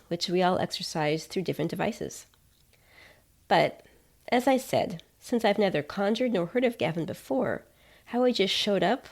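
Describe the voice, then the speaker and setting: Creaky-voiced woman narrating a story. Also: lowish voice, darkish tone. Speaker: podcast writer and narrator. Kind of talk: scripted first-person story narration.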